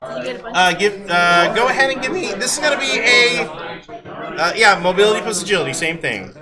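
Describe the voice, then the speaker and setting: A man working as game master, narrating a tabletop roleplaying game in a halting, improvised, amused way. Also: high-pitched, lively intonation, loud music behind the voice. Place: live event at a gaming convention. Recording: live convention hall with crowd noise. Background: audience crowd.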